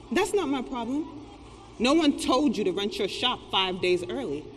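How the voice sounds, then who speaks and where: A haughty rent collector on stage, high and sharp, drawing out curt, uncaring lines slowly. The voice rings in a large hall. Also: female voice, big pitch swings, slight background noise. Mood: dismissive. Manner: slow, deliberate, curt, dismissive. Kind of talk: theatrical stage-play acting. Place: theatre stage, picked up in the hall.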